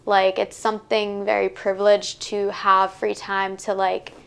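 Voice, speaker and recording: A woman's voice: mid-range voice, monotone, a little slow, vocal fry, clean tone. A young woman podcaster answering interview questions in a chatty, casual way, full of "like" and "um". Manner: chatty, lots of "like" and "um" fillers. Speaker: podcaster and workshop guest.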